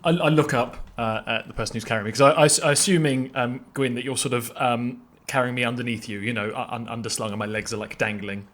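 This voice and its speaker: A man playing a tabletop roleplaying game, describing his character's actions in a halting, conversational way as he feels out each phrase. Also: mid-range voice, steady tone, a bit bright. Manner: halting, feeling out phrases with repetitions.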